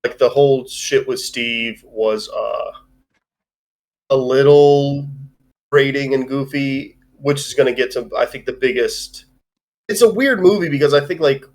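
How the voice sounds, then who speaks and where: A man's voice: medium pitch, measured pace. Man chatting through a movie review. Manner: opinionated, hedging, digressive. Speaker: podcast host. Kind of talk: casual movie review chat.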